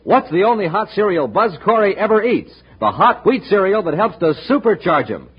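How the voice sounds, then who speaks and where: A male announcer pitching a sponsor on a 1950s children's radio serial in a punchy, emphatic style. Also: very animated, fairly quick, husky. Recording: muffled, noisy 1950s radio recording.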